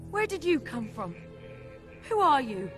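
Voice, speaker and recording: An actress playing a hot-tempered young warrior woman in a video game, blunt and forceful, full of emotion. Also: high voice, sing-song, a little slow, faint music behind. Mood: anguished, angry. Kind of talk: scripted video game dialogue.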